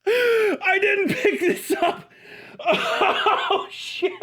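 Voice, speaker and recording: A man's voice: very high-pitched, very slow, bright, forceful tone. A man hosting a livestream, reacting to a video with casual, profane, sarcastic and giggly commentary. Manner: mocking, sarcastic. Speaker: livestream host.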